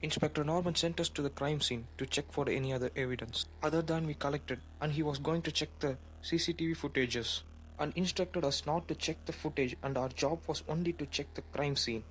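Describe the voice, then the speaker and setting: A man's voice: fairly high pitch, a little fast, fairly bright tone, close to an everyday voice, low-quality recording. A man playing a serious, urgent police officer in an amateur audio drama, speaking emphatically. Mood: grave, confiding. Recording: humming background noise.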